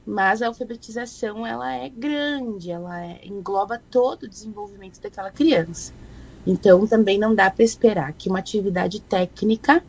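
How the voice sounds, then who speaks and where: A woman talks in an easy, reflective manner. Her voice sits at an ordinary middle pitch. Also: a little mellow, low-quality recording. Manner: reflective, thoughtful. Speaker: guest educator. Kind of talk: conversational explanation.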